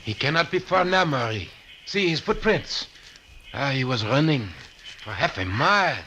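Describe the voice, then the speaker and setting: An actor playing a grave, urgent woodsman in an old radio drama, speaking in formal, deliberate phrases. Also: mid-range voice, big pitch swings, background noise, natural voice. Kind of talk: 1940s radio acting.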